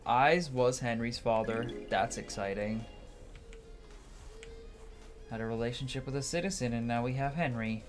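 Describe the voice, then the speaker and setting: A man's voice: medium pitch, normal speed, long pauses. A man doing gameplay commentary, musing aloud to himself and reading game text out. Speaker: gamer doing a playthrough. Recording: music behind the voice. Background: game music.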